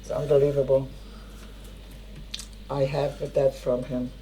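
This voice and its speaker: An older woman whose first language is Hungarian speaks in a dark, mellow voice. She gives her testimony haltingly, pausing to search for words, with faint ambient noise behind her. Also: rumbling low, flat delivery, low-quality recording. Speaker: interviewee. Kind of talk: spoken testimony.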